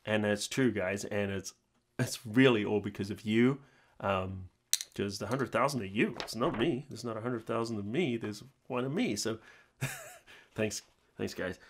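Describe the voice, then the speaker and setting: A man's voice: fairly low pitch, very animated, a little slow, a little hiss or hum. A middle-aged man, chatting informally and in a rambling way to his viewers as a channel host. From New Zealand. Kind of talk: casual talk to viewers.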